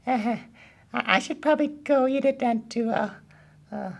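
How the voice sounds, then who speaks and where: A woman voicing an excitable, melodramatic puppet boy in a children's puppet show, stammering and whiny with goofy exaggeration. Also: fairly high pitch, unhurried, vocal fry. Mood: strained, faltering.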